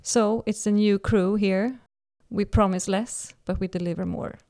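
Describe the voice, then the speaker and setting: A woman's voice: mid-range voice, fairly even intonation, measured pace, warm tone. A woman in her forties from Sweden, speaking English with a Swedish accent as she hosts a casual podcast chat and leads the talk. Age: 40s. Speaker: podcast host.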